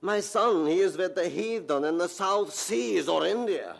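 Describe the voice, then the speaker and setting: A man's voice: high voice, animated, unhurried, creaky, exaggerated voice. An actor playing a stern, stubborn old German father in a radio drama, self-pitying and emphatic, with a German accent and inverted phrasing. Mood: wounded, self-pitying. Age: older. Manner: emphatic, guilt-tripping, inverted foreign-sounding phrasing.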